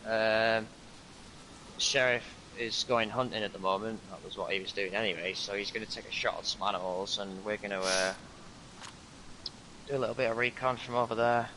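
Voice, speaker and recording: A man leading a roleplay squad, briefing the group in a calm, steady, instructive way with frequent uhs. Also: fairly low pitch, normal speed, a little hiss or hum, low-quality recording. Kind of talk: in-character tactical briefing.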